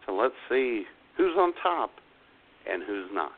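A man's voice: medium pitch, sing-song, unhurried. A man hosting a radio show, chatting casually and introducing segments. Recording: dull lo-fi audio.

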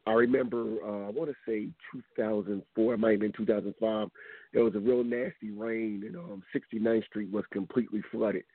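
A hoarse man hosting a radio talk show, talking casually and rambling with many ums. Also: lowish voice, dry recording. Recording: tinny phone line.

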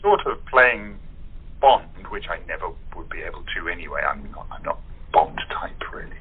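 A man's voice: low voice, sing-song, normal speed, slightly rough. A man chatting casually over a narrow call, wry and self-deprecating with a theatrical turn of phrase. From moved from abroad to the show's country. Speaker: voice actor in an audio theatre group. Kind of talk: casual chat. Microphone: phone or internet call. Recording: muffled, narrow phone-line call.

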